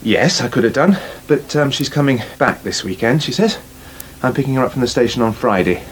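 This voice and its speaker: An actor playing a touchy, glum young English farmer in a naturalistic radio soap. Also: male voice, mid-range voice, lively intonation, a little fast, natural voice. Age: young adult. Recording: faint noise, 1980s radio drama.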